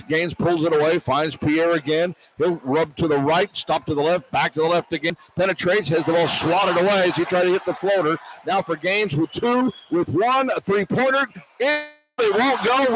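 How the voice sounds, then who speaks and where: A man's voice: fairly high pitch, fairly bright tone, low-quality recording. An American man doing live radio basketball play-by-play in terse, clipped phrases. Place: courtside in a large indoor arena. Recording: radio broadcast with clear arena noise. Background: arena ambience and noise.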